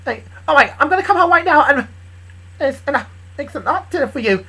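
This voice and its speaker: A woman's voice: on the high side, moderate pace, fairly bright tone, slightly rough, voice pushed up. A woman voicing an affectionate, eager female sweetheart in a story, gushing and doting. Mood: overjoyed.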